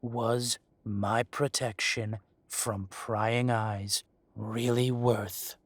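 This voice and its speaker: A man playing a menacing, possessive dragon-shifter mafia boss, speaking in slow, condescending threats in a dramatic audio roleplay. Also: mid-range voice, flat delivery, no room sound. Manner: slow, deliberate, condescending threats with heavy pauses. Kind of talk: dramatic roleplay monologue addressed to the listener.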